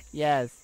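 A woman's voice: a bass voice, exaggerated voice. A woman playing a chipper, silly, caring roommate and best friend in a comforting audio roleplay, with playful, theatrical phrasing. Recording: noisy, birds and insects behind. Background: nature sounds with birdsong and insects.

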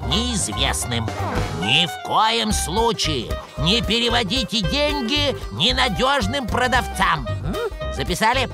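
A man's voice: high voice, big pitch swings, slow pace, loud music behind the voice, exaggerated voice. A man voicing a clever, strict mouse who teaches in a precise, instructing way in an educational cartoon. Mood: stern, didactic. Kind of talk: animated educational cartoon dialogue.

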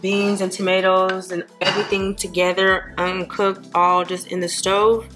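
Give me a young woman in her kitchen, filming herself at arm's length, casually explaining what she's cooking. She sounds relaxed and playful, sits at a middle pitch, and a little music plays softly in the background. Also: unhurried. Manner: chatty, teasing, scolds her daughter bluntly. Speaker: mom vlogger. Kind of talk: casual vlog chat to camera. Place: home kitchen and backyard pool. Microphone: handheld camera at arm's length.

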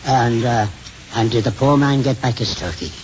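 An actor playing a fussy, kindly elderly priest in 1950s radio style, with a hesitant, stammering manner. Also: male voice, medium pitch, steady tone, moderate pace, natural voice. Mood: sheepish. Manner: hesitant, stammering, muttering repetitions. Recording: muffled, noisy 1950s radio recording.

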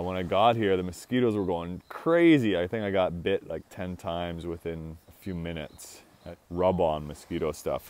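Low-voiced tired Canadian man outdoors. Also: slight background noise. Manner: relaxed, chatty, self-mocking, with trailing fillers. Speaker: vlogger, long-distance sea kayaker. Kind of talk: casual travel vlog to camera. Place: outdoors on a jungle beach by the sea.

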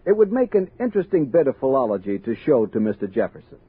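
A thin, band-limited 1960s radio recording of a thoughtful expedition captain. His delivery is measured and literate, with widely moving intonation. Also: mid-range voice, big pitch swings, slightly rough, no room sound, narrow, tinny audio. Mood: reflective. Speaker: narrator and actor. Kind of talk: 1960s radio drama narration.

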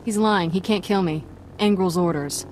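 Woman playing a low-voiced, cheeky young apprentice. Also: moderate pace, darkish tone, a little hiss or hum, close to an everyday voice. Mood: cocky, defiant. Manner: wry, irreverent. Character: plucky, cheeky young female Jedi apprentice. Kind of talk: video game cutscene acting.

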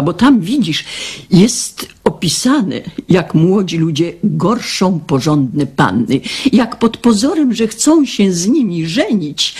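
An actress playing a suspicious, moralizing old grandmother in a radio drama, with a husky, raspy voice and a fussy, admonishing manner. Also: fairly low pitch, a little mellow, exaggerated voice. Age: older.

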